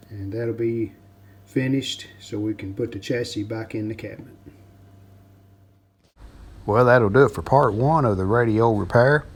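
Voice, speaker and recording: An older man giving a hands-on repair walkthrough in plain-spoken, halting phrases. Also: medium pitch, darkish tone, slight background noise.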